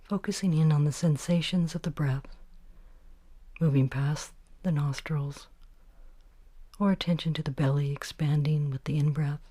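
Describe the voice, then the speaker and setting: Low, mellow woman guiding meditation. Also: steady tone, no echo. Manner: gentle, unhurried instruction with pauses between short phrases. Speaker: meditation guide. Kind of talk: guided meditation narration.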